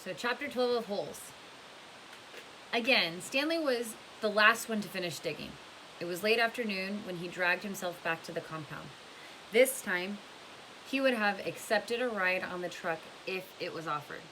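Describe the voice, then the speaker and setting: A woman's voice: mid-range voice, moderate pace, bright, forceful tone, rough recording. A woman narrating a storybook aloud, with hiss in the recording. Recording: clear background hiss.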